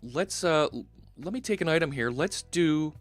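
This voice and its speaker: A man's voice: on the high side, lively intonation, normal speed, dry recording, soft background music. A man in his forties with a Philadelphia accent, hosting a casual coding live stream through a headset and thinking aloud with lots of fillers. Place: home studio, indoors.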